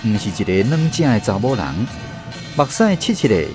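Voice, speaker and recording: A man's voice: lowish voice, sing-song, moderate pace, music in the background. A man with a Taiwanese accent narrating a scripted literary radio drama as a storyteller.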